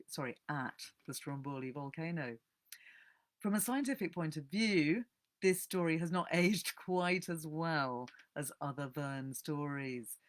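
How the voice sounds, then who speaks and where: A woman talks informally about books to a listening audience. She is chatty and unhurried in feel, often pausing on "um" and correcting herself mid-phrase as she goes. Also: low voice. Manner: chatty, with frequent ums and self-corrections. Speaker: speaker giving a talk on books. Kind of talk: informal literary talk to an audience.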